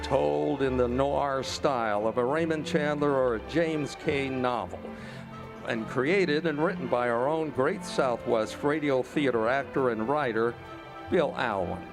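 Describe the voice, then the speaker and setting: A man's voice: mid-range voice, measured pace. A man announcing a live radio theater show and reading sponsor copy in a scripted old-time radio style. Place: outdoor amphitheater stage. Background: music.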